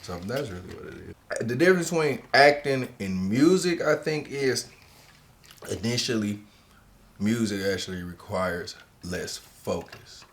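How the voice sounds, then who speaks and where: A man's voice: medium pitch, expressive, unhurried. A young man hosting a casual interview, talking in a loose, slangy, profane way. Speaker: host.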